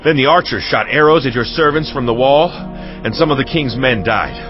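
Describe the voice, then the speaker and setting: A man's voice: mid-range voice, expressive, fairly quick, music in the background, natural voice. An actor playing a battlefield messenger reporting formally to a king in dramatized scripture. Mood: grave reporting. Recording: slightly dull audio.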